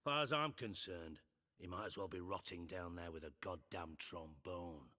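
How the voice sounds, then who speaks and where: A man's voice: deep voice, normal speed, bright, forceful tone. An actor playing a scheming, sardonic male spymaster in a game cutscene, delivering dry, menacing lines.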